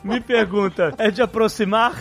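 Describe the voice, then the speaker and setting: A Brazilian man hosting a podcast, reading listener messages aloud in a casual, expressive, colloquial way. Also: sits high, moderate pace, soft background music. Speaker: podcast host.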